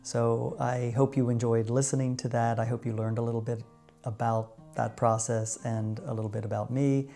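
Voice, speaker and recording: A middle-aged man with an American accent tells his personal story to viewers in a measured, reflective way with deliberate pauses. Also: medium pitch, level tone, creaky, mellow, soft background music. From United States. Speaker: vlogger.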